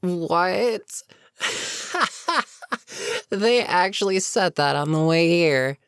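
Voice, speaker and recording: Gravelly goblin captain played by a voice actor, fantasy roleplay audio, wry and weary, casual sardonic asides, unhurried pace, close dry sound. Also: mid-range voice, lively intonation, fairly bright tone, no room sound, exaggerated voice. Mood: amused. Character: wry, weary goblin captain, amused and businesslike toward a captive. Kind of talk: immersive character roleplay monologue, conversational.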